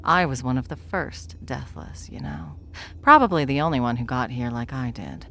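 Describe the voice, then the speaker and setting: A woman as a very deep-voiced ancient wry sorcerer. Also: very animated, moderate pace, a bit bright, a little hiss or hum, full character voice. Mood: weary, candid. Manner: theatrical, wry, leisurely lecturing with breathy asides. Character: ancient deathless spellcaster, wry, self-assured, lecturing. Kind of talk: ASMR fantasy roleplay audio drama.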